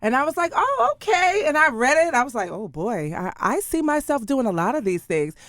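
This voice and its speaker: A woman voice actress chatting casually as an interview guest, telling anecdotes in an animated way. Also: high voice, fairly quick, no room sound, studio quality.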